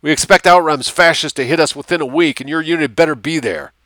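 Guy doing a pushy foul-mouthed civilian man, folksy drawl, higher pitch, war audiobook. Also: fast talker, pushed and bright, dry recording, clean studio sound. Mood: bossy. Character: brash, foul-mouthed American civilian liaison officer.

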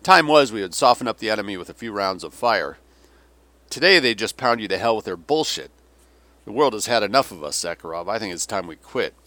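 A man's voice: on the low side, animated, brisk, bright, forceful tone, no room sound. A man reading an audiobook as a weary, vulgar old Russian general, sardonic and theatrical, given to sneering rants. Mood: resigned, cynical. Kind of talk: dramatic audiobook character reading.

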